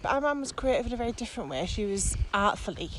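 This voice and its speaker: A woman co-hosting a casual podcast while walking in a field, lively and questioning. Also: fairly high pitch, fast talker. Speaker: podcast co-host. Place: open grassy field outdoors. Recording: outdoor noise, birds, wind.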